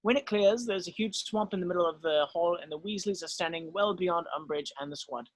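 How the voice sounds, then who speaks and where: A man's voice: high voice, brisk. A man narrating stage directions in an amateur screenplay table read.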